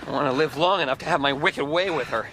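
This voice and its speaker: An actor playing a nervous, sarcastic young backpacker in a radio play. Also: male voice, on the high side, quick pace, bright, forceful tone. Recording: noisy outdoor sound effects behind.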